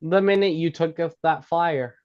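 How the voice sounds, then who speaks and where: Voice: medium pitch, moderate pace, dull audio, close to an everyday voice. An actor at a script table read playing a blunt, insistent comedy club worker, emphatic and stumbling over lines. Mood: pushy.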